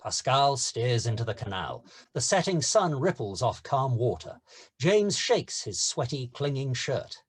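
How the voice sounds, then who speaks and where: Husky, animated male screenplay narrator. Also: medium pitch, a little slow. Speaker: narrator reading stage directions. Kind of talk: scripted narration.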